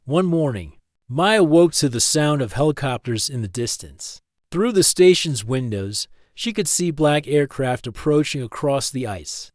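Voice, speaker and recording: A man's voice: mid-range voice, expressive, a little slow, a little mellow, no room sound, studio quality. A man reading a scripted story as an audiobook-style narrator.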